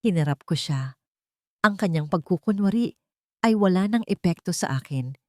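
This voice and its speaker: Low-pitched Filipino woman narrating, very dry sound. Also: expressive, moderate pace, warm tone, no room sound. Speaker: audio story narrator. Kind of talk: scripted dramatic first-person story narration.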